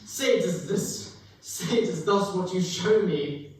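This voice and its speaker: A man's voice: high-pitched, measured pace. A young man playing a frightened, repentant old miser in a theatrical, formal, pleading dramatic reading. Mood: anxious, pleading. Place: live performance in a reverberant museum room. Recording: very echoey hall, distant mic, faint noise.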